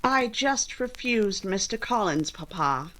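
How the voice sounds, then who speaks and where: A woman playing a spirited, proper young gentlewoman with firm, emphatic delivery in a period radio comedy. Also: medium pitch, animated, slow. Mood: defiant.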